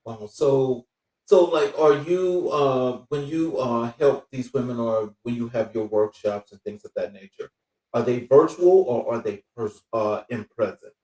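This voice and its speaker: A man hosting a casual interview, asking loosely phrased questions in a halting way. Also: medium pitch, dark tone. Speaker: podcast host, interviewer. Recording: roomy, distant from the mic.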